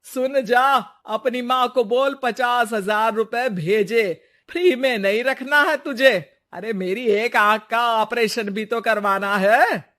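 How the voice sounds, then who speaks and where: A man's voice: very high voice, slow pace, bright, forceful tone, full character voice. A man playing a blunt, scolding townsperson in a cartoon moral story, exclamatory and challenging. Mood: demanding.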